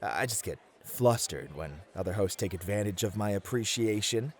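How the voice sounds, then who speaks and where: Man acting a bashful, animated bunny club host. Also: fairly low pitch, moderate pace. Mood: flustered. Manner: stammering, bashful, polite, accommodating. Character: flustered, eager, sweet male bunny club host. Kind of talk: ASMR roleplay acting.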